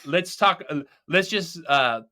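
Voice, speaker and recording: A man's voice: a little high, animated, takes it slowly, pushed and bright. A man co-hosting a podcast, upbeat but hesitant, with many fillers. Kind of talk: casual podcast chat.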